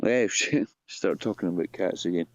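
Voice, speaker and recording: A man chatting on a live stream, halting and hesitant, with frequent fillers and swearing. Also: low voice, darkish tone, no echo. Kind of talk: casual chat. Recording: dull lo-fi dry mic.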